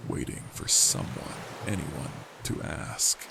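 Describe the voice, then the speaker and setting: An actor playing the bitter, sarcastic ghost of a forgotten pirate's apprentice. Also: male voice, slow pace, background noise, close to an everyday voice. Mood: indignant. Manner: sarcastic, aggrieved. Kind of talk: audio drama acting.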